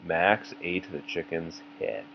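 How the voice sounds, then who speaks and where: A man reading a children's picture book aloud, gently and patiently, with casual asides to a child. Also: low-pitched, slow pace, vocal fry, dull audio, crowd noise. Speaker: read-aloud storyteller for a child.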